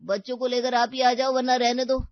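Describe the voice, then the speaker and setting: A woman voicing a gruff, impatient male school bus driver for a cartoon, blunt and grumbling. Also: fairly high pitch, level tone, a little fast, dry recording, voice pushed up, lightly acted. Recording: dull, muffled audio.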